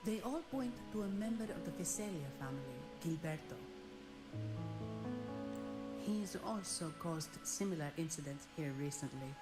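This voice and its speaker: Casting: cool game investigator, low pitch, formal delivery, echoey with loud music. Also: female voice, animated, moderate pace. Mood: businesslike. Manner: composed, formal, matter-of-fact. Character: Zanni, cool businesslike composed young woman investigator for a bank. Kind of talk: video game voice acting.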